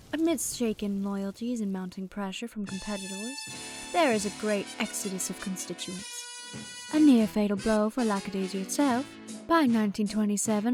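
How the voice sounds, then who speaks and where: A woman giving scripted, dramatic story narration. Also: medium pitch. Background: music.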